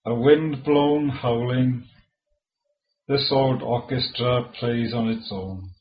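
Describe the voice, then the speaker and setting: A Welsh-accented man whose first language is Welsh, reading his own poetry aloud in a measured way, with halting asides between poems. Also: medium pitch, fairly even intonation, lo-fi sound. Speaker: poet.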